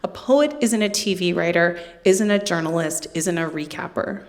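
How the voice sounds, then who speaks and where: A middle-aged woman giving a live literary reading in a hall, with deadpan, wry humour and casual asides to the listeners. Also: on the low side, normal speed. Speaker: poet reading from own book.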